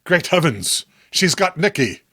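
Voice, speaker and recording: A man's voice: fairly high pitch, sing-song, unhurried, sharp tone, slightly rough, no room sound, studio quality, heavily acted. A man playing a horrified, indignant man in a horror comic, speaking in a formal, melodramatic, emphatic way. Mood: grave, accusing. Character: horrified, indignant man confronting his deranged friend. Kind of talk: horror comic read-along acting.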